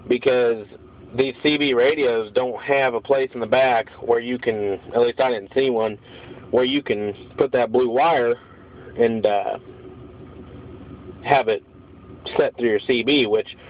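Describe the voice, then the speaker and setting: A man giving a casual hands-on how-to demonstration in an informal, blunt, plain-spoken way, recorded on a handheld phone in and around a pickup truck. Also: mid-range voice. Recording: dull lo-fi phone audio, vehicle noise.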